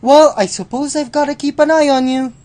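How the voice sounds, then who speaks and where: A woman playing Death, a sardonic, playful, menacing male personification, in a radio drama with a slow, mocking delivery. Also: high voice, warm tone, natural voice. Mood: wry, amused.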